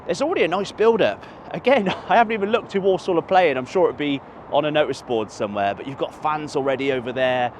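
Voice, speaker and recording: A man's voice: a little high, animated, quick pace, hardly any pauses, a little hiss or hum. A man, walking around a football ground and chatting casually to camera in a rambling, self-correcting way. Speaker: football stadium vlogger. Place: outdoors by a stadium near a motorway.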